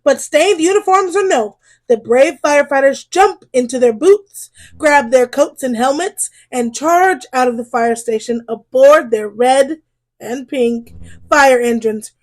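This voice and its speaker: A woman reading a children's story aloud in an expressive way, drawing out key words for emphasis. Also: sits high. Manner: animated, drawn-out emphasis on exclamations and key phrases.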